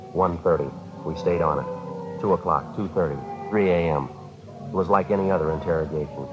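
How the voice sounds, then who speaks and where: A terse detective played by a man in a 1950s radio crime drama. He talks quickly in a low, matter-of-fact voice, clipped and businesslike, on a dull old recording with prominent music. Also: close to an everyday voice. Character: terse, businesslike male police detective narrating his case. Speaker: lead actor and narrator. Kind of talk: 1950s radio drama acting, scripted narration.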